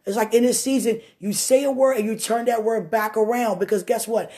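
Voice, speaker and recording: Woman preacher, deep voice, animated testimony style, loud then hushed. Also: fairly quick.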